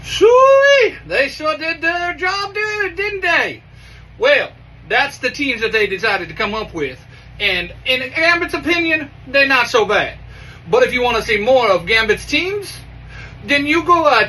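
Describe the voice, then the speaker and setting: A boisterous comic-book mutant man, acted out by a man, swaggers through his lines in a high, very animated voice. He exclaims freely and speaks of himself in the third person. The recording is distant, with some background noise. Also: heavily acted. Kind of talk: in-character sign-off and plug.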